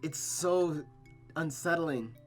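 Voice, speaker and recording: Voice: medium pitch, slow, soft background music. A host reading a visual novel aloud with casual commentary.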